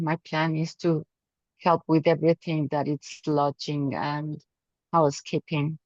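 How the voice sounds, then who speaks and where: A woman's voice: low voice, dry recording. Woman from Mexico on dry, dull call audio. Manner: simple, slightly halting phrasing. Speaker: volunteer coordinator. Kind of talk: brief self-introduction. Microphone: video call.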